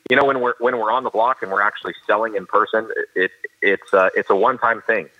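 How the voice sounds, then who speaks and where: A man with a carrying baritone voice, a professional auctioneer giving earnest, detailed interview answers with frequent restarts. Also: steady tone, fast, dull audio. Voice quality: baritone voice that carries. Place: studio.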